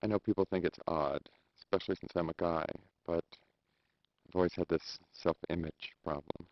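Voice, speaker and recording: Self-conscious patient, very deep voice. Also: male voice, steady tone, moderate pace, no room sound. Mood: uneasy, confessional. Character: subdued, self-conscious male hospital patient. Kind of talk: live-action game acting. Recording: dull lo-fi game video audio.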